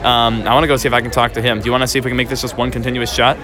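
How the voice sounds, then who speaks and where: A man's voice: mid-range voice, level tone, racing, music in the background. A man hosting a podcast with casual, rambling on-the-spot interviews and chat. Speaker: podcast host.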